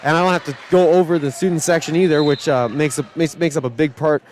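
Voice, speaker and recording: A man calling high school volleyball play by play, shouting excitedly over rallies, his voice starting to go. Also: fast. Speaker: play-by-play announcer.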